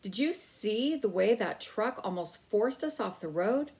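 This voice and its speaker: A woman's voice: mid-range voice, animated, measured pace, darkish tone. A woman narrator voicing a weary, stubborn father on holiday in a storybook, firm and tired. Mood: firm, irritated.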